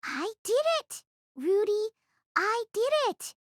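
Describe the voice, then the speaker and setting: A very high, childlike voice as a small apprentice mage boy. He is eager, innocent and full of questions, recorded close and dry for an audio drama. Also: lively intonation, slow pace, no room sound, full character voice. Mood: proud, excited. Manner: wide-eyed, eager, simple childlike phrasing. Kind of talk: audio drama character acting.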